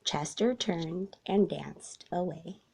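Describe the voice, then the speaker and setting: A woman narrating a children's story aloud in a soft, deliberate storytelling manner. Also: on the low side, gravelly, slight background noise. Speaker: storybook reader.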